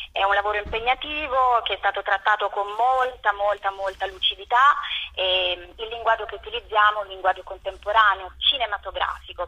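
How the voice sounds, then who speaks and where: A woman interviewed over the phone as a theatre director, explaining her work in a thoughtful, halting way with drawn-out pauses. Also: medium pitch, bright, forceful tone, slightly rough. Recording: muffled, band-limited phone line.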